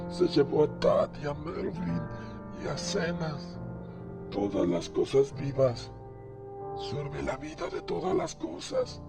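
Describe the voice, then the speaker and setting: A man voicing a dying, horror-stricken farmer in an audio horror story, murmuring haltingly in a cracked, strained voice. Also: a little high, creaky, pitched above the performer's own voice, heavily acted. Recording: loud music behind. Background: loud music.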